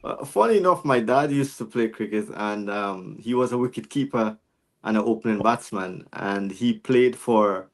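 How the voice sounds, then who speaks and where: A man's voice: lowish voice, normal speed. Guyanese-born man in his forties. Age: 40s. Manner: reflective, many restarts and fillers. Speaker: guest. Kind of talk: casual interview chat.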